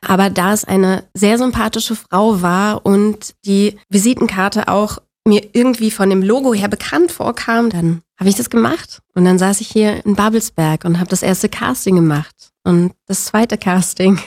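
Woman in her forties from Berlin, interview guest, open and chatty, mid-pitched voice. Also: moderate pace, few pauses, darkish tone. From Berlin, Germany. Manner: open, friendly, laughs often, many fillers. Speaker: actress, guest. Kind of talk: casual interview chat.

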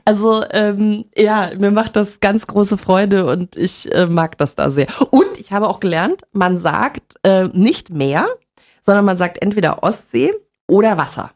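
A woman's voice: medium pitch, unhurried, a little mellow. A middle-aged woman, a former radio presenter from Bavaria, chatting casually and enthusiastically in an interview, laughing often.

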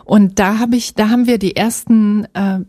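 Thoughtful German woman, mellow and dry. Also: mid-range voice, steady tone, dry recording. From Berlin, Germany. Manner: thoughtful, measured, with fillers and soft laughs. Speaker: psychologist, interview guest. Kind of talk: reflective interview answers.

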